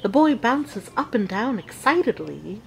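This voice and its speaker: A woman playing a witch who narrates an audio drama with measured, deliberate storytelling. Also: fairly high pitch, big pitch swings, vocal fry, slight background noise, heavily acted, low-quality recording.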